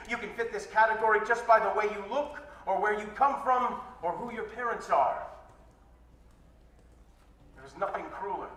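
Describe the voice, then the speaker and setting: A man performs a defiant revolutionary making a public speech in a staged story. The voice is high, earnest and emphatic, with grave rhetorical questions. It rings in a roomy space, slightly distant from the microphone. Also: fairly bright tone.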